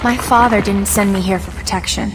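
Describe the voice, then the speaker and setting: Young woman voicing a earnest mutant girl, mid-pitched, sincere, slightly anxious, game cutscene. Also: fairly even intonation, a little fast, close to an everyday voice. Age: young adult. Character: young female mutant recruit, earnest and eager. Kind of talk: video game cutscene acting. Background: game music score.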